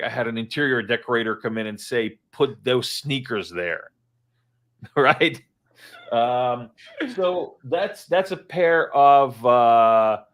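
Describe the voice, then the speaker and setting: Casual male speaker in a home office, rambling, lots of fillers and restarts, mid pitch. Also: fairly bright tone. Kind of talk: casual live-stream chat.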